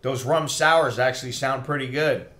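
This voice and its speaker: A man's voice: medium pitch, moderate pace, fairly bright tone. A middle-aged American man, a little tipsy, rambling casually to a livestream in a blunt, profane, opinionated way. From United States. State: tipsy after a few drinks. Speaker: expat vlogger.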